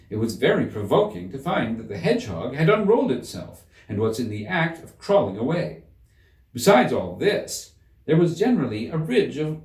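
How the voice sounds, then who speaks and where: A man giving an expressive scripted narration of a children's classic as an audiobook reader. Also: mid-range voice, far from the mic. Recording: roomy echo, slightly off the mic.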